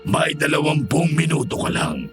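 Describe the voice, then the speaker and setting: An actor playing a cruel, taunting aswang lord in a horror audio drama, speaking with menacing mock politeness. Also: male voice, a little high, big pitch swings, moderate pace. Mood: cold threat. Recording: music under the voice.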